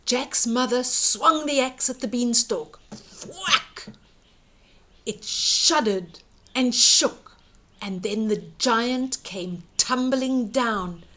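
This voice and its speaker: A woman reading a bedtime story aloud to children, dramatically, with emphatic drawn-out words and hushed suspense. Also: a little high.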